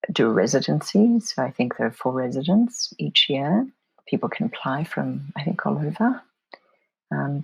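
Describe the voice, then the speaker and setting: A middle-aged woman from Poland, an interview guest talking reflectively in a soft, thoughtful way that often drifts into whispery asides. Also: extremely low pitch, gravelly, husky, dry recording, poor audio. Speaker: interview guest, photomedia artist.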